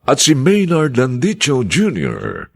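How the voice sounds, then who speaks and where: A man's voice: mid-range voice, wildly swooping pitch, slow, gravelly, no echo, clean studio sound. A man announcing a radio drama in formal, scripted narration with a grand theatrical delivery.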